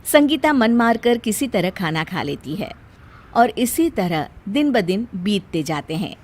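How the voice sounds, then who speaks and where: A woman's voice: medium pitch, big pitch swings, measured pace. A woman storyteller reading a scripted family tale as narration.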